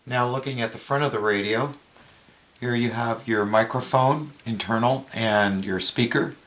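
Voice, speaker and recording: A man's voice: mid-range voice, moderate pace, a bit bright, slight background noise. A man giving a plain, matter-of-fact, step-by-step hands-on product demonstration. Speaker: product reviewer, presenter.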